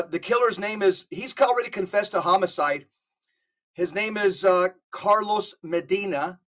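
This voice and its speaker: A man's voice: high voice, fairly bright tone. Guest man, earnest and emphatic, stumbles and restarts as he presses his point. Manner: earnest, repeats and restarts phrases. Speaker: podcast guest. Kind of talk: emphatic conversational commentary. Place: California.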